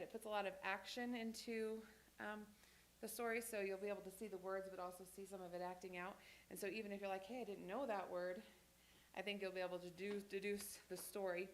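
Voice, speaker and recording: A woman's voice: medium pitch, slight background noise. A woman in her thirties teaching a sign language class at church, talking casually and rambling through stories with restarts. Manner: chatty, rambling with anecdotes and restarts. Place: church hall. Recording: echoey church room.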